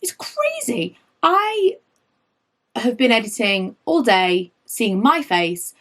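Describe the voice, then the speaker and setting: A woman in her late thirties, slightly hoarse from losing her voice, chatting through her makeup favourites and wandering off on tangents. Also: on the high side, slow. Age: late 30s. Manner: rambling, goes off on tangents; animated, rises when indignant. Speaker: beauty vlogger.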